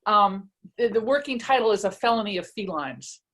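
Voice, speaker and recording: A woman author speaks in a conversational, self-deprecating manner at an informal book reading. Their voice sits at a middle pitch, and they move between casual chat and reading aloud. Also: expressive, brisk, a bit bright.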